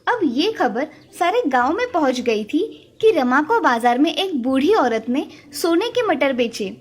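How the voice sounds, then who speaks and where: High-pitched woman storyteller. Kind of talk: scripted narration of a children's moral story.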